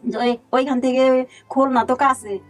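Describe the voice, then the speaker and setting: A woman's voice: high voice, fairly even intonation, normal speed, soft background music. A woman with a Bangladeshi accent telling a personal story as a guest. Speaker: guest, relative of another guest.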